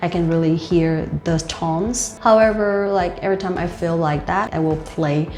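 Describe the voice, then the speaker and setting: Young Vietnamese-accented woman coach, lowish voice, loose chatty delivery, roomy room. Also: fairly even intonation, moderate pace, darkish tone. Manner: loose, repetitive, many "like" fillers. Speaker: host and life coach. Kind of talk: casual speaking tips to camera. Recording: roomy with faint music.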